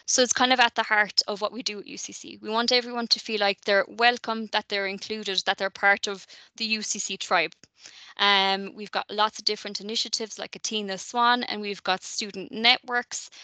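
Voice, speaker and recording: A woman's voice: mid-range voice, sharp tone, no echo. A woman giving a friendly, chatty presentation to prospective university students, with many ums and "okay?" check-ins.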